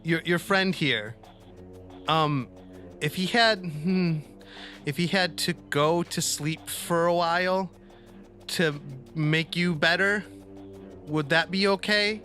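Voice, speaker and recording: A man playing a tabletop roleplaying game, improvising casually with hesitant, halting phrasing. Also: a little high, pushed and bright. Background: faint music.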